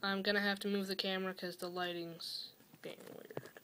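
A young woman hosting a casual building tutorial from a small dim room at home, talking hesitantly with many fillers and pauses, her voice often trailing off quietly. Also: mid-range voice, little pitch movement, smooth. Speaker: toy-building tutorial host. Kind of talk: casual how-to narration.

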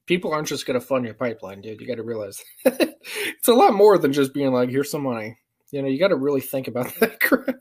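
A man's voice: mid-range voice, sing-song, a little fast, darkish tone, husky. A young American man giving casual, slangy commentary in an amused manner. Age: young adult. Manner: amused, slangy, chuckling through remarks. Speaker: prank-calling vlogger. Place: small living room, indoors. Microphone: webcam at arm's length.

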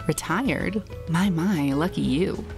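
A woman's voice: low voice, takes it slowly, music in the background. An actress playing a confident, flirtatious woman in an audio drama, with a bold, teasing, coaxing way of talking. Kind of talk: naturalistic audio drama acting.

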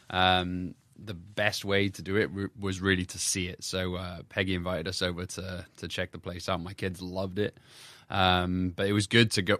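Low-voiced Welsh man, chatty. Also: no room sound, studio quality. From South Wales. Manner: relaxed, chatty with frequent fillers and laughs. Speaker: physical therapist. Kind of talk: casual interview talk. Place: submarine galley.

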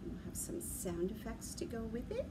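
A middle-aged woman talking to young children as a library story time host, in an animated, sing-song way with simple questions. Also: fairly high pitch, moderate pace. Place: large library room with big windows, indoors. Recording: clear background noise, room ambience.

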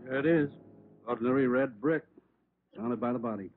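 Man acting as American forensics cop, methodical, terse, mid-pitched, dry tinny old radio drama recording. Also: brisk, lightly acted. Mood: clinical. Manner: matter-of-fact, clipped.

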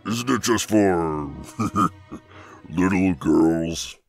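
A man voicing a big, brash turtle-dragon villain in a cartoon, gruff, gravelly, mocking and smitten by turns. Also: deep voice, very slow, soft background music, exaggerated voice.

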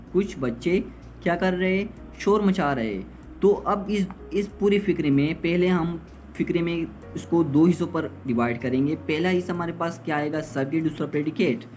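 A man's voice: fairly high pitch, darkish tone, slight background noise. A man giving an explanatory grammar lesson as a teacher, repeating points and posing questions that he answers himself. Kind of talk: explanatory lesson, translating examples.

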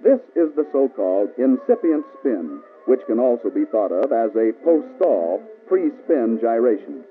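An American man reading scripted instructional narration for a mid-century military training film, measured, authoritative and precise. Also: mid-range voice, sing-song, phone-quality sound. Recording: band-limited old film soundtrack with music.

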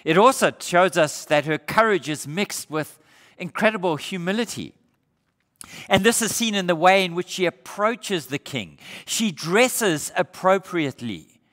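A man's voice: high voice, measured pace. A middle-aged male pastor from Australia preaching a sermon on a church stage, asking the congregation rhetorical questions. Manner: animated preaching with rhetorical questions, gesturing while standing. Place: church sanctuary stage, indoors. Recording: reverberant church hall.

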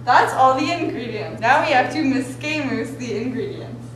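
A woman's voice: high-pitched, lively intonation, moderate pace, background noise. Giggly teenage girl hosting a mock cooking show. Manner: giggly, hammy, stumbles over words. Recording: echoey room.

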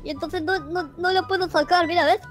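A childish girl from a Minecraft roleplay, extremely high and squeaky. She is defensive and insistent, repeating herself over background music. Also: fairly even intonation, a little fast, fairly bright tone. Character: squeaky female noob friend, childish and defensive. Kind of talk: improvised comic game roleplay.

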